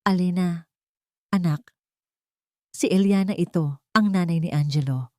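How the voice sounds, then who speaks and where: An elderly mother full of remorse, as a woman narrator voices her in a romance audio story. Her voice is low and pleading. The sound is dry, without any room echo. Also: measured pace, a little mellow, lightly acted. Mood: remorseful.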